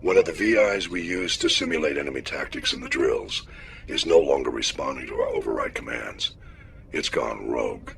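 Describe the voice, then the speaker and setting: An actor playing a gruff, commanding older admiral in a video game, giving a formal, direct mission briefing. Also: male voice, extremely low pitch, lively intonation, moderate pace, fairly bright tone, a little hiss or hum, exaggerated voice. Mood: urgent, firm. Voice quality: gravelly.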